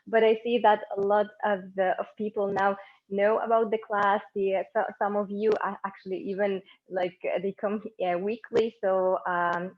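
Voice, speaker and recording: Lady teaching english online, lots of ums and uhs, kinda dull stream audio. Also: medium pitch. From Egypt. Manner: relaxed and encouraging, with many fillers and restarts. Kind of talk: live online conversation class. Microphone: laptop or phone mic on a video call.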